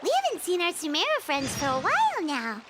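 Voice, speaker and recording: A woman voicing a tiny, childlike, chirpy fairy companion in a video game, with a squeaky voice. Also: wildly swooping pitch, brisk, heavily acted. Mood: chirpy, eager. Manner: chirpy, exclamatory, refers to self by name. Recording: music playing behind the voice.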